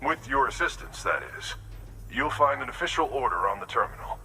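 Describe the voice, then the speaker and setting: A man's voice: medium pitch, expressive, fairly quick, sharp tone, a little hiss or hum. An actor voicing a friendly man in the mountains who passes along a request in an easygoing, wry, chatty way. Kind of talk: video game cutscene dialogue.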